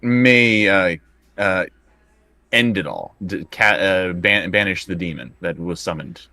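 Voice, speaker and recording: A man's voice: sits low, a little slow, bright, forceful tone, dry recording. A man chatting casually as a player in a tabletop game, hesitant and stumbling over his words.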